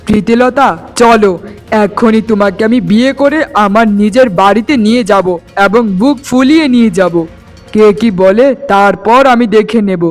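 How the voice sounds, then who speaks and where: A man plays a young village lover in a cartoon melodrama. The voice is high for a man, earnest and declamatory, with a defiant streak. Faint music sits behind it. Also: moderate pace, close to an everyday voice. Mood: earnest, determined.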